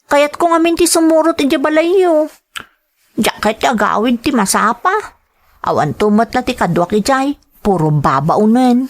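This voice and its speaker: A woman's voice: a little high, expressive, moderate pace. A woman playing a cheerful, sheltered young student in a radio drama, eager and girlish, with an Ilocano accent. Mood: explaining, eager. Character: cheerful young female student, sheltered rich best friend.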